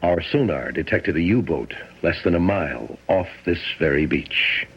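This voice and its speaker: Deep-voiced, probing military captain. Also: male voice, lively intonation, slightly rough, heavily acted. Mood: coolly probing. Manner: formal, deliberate, interrogating. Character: stern, probing male military captain, coolly suspicious. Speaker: radio drama actor. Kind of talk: old-time radio mystery acting. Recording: muffled, noisy old radio drama recording.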